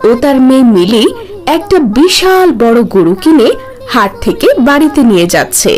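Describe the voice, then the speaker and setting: A woman narrates a children's cartoon story from a script. Her high voice swings in lively intonation, with faint music underneath. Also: moderate pace. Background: faint background music.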